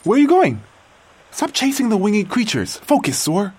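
A man's voice: high-pitched, wildly swooping pitch, normal speed, a little mellow, husky, heavily acted. A man playing an aging artificial intelligence, a doting creator-parent musing with theatrical wonder in an audio drama monologue. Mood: scolding. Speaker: narrator and lead character. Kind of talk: dramatic first-person audio fiction monologue.

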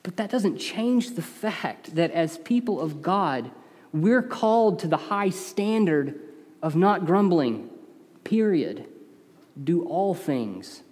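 High-voiced preacher with an East Tennessee accent. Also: male voice, a little mellow. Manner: earnest, conversational preaching with emphatic rises. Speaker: pastor. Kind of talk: sermon. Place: church sanctuary. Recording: long reverb of a large hall.

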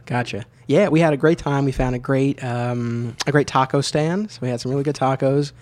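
A man's voice: medium pitch, brisk, a little mellow. A man in his thirties hosting a podcast, interviewing and narrating in an easygoing, friendly way with fillers. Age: 30s. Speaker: podcast host.

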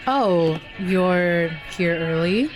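A woman's voice: fairly low pitch, very slow, music in the background. A woman playing an easygoing, reassuring young coffee shop worker in an audio drama, speaking casually. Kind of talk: naturalistic audio drama dialogue.

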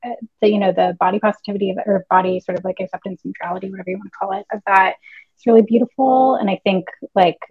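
A woman's voice: medium pitch, fairly even intonation, rapid-fire, breathy edge, dry recording, dull audio. A woman co-hosting a casual fan discussion podcast, thinking aloud in long, analytical, wordy stretches. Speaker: podcast co-host.

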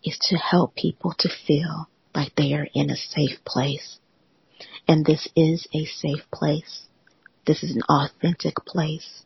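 A host of a live audio room giving a gentle, reassuring motivational talk in a soothing, often whispery voice. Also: mid-range voice, flat delivery, takes it slowly, vocal fry, slightly rough, no echo, dull audio.

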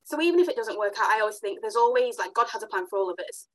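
A woman hosting a podcast, chatting casually and warmly affirming her guest with enthusiastic interjections. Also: mid-range voice, animated, racing. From Africa. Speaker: podcast host.

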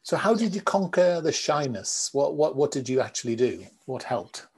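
A man's voice: on the high side, big pitch swings, normal speed, darkish tone, breathy edge. A man hosting a podcast, asking questions and prompting his guest, often repeating words as he starts a question. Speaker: podcast host. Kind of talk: interviewing.

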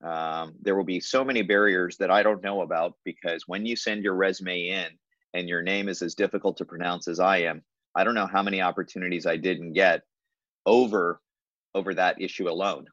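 Low-voiced man, measured reflective talk. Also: fairly even intonation, fairly bright tone, no echo, highs cut off. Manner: measured, many fillers and restarts. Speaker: hospital leader, guest. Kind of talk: reflective conversational interview answer.

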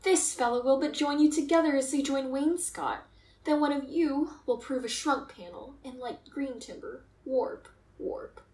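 A woman playing a meddling, scornful gentleman in a Shakespearean radio play, theatrical and admonishing, in an echoey room. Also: high voice, measured pace.

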